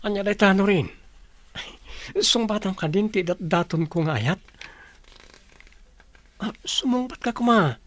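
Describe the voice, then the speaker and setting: An eager young suitor in a radio drama, smooth-talking and quick to apologise as he pleads his case. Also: sits high, lively intonation, fairly bright tone. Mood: eager, courting.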